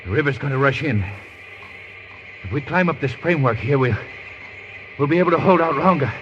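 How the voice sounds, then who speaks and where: A man acting a resolute, cool-headed gentleman sleuth in an old radio drama. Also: fairly high pitch, animated, fairly quick, natural voice. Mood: urgent. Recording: muffled, noisy old radio recording.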